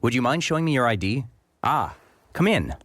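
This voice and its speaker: An officious doorkeeper at a police station in a mystery game. His mid-range voice rises and falls in an animated way. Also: medium pitch, normal speed, slight background noise. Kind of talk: video game voice acting.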